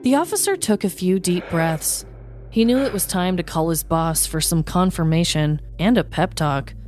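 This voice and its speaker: A woman narrates a scripted true-crime tale for comedy, as a podcast host. Her voice sits fairly low. Music plays underneath. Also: lively intonation, moderate pace, a little mellow.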